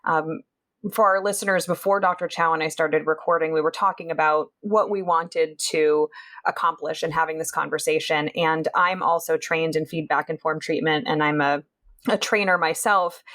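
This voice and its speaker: A woman's voice: low-pitched, steady tone, moderate pace, fairly bright tone. A woman hosting a clinical interview podcast, speaking with enthusiasm and occasional fillers. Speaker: podcast host.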